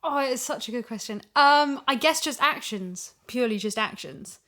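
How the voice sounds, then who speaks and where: A woman speaks in a casual, conversational way. She is animated and wordy, working out her thoughts as she goes, like an actor talking about the craft. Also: fairly high pitch, moderate pace. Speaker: voice actor and podcast guest. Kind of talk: casual chat.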